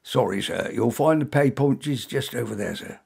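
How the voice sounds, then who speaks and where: A man voicing a male store security guard who speaks quietly and politely but with authority. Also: mid-range voice, animated, moderate pace, vocal fry, slightly rough, full character voice. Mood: apologetic.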